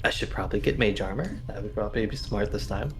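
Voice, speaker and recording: A man chatting casually as a player in a tabletop roleplaying game, thinking out loud in a hesitant, musing way. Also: fairly low pitch, quick pace, creaky. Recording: music playing faintly behind. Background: background music.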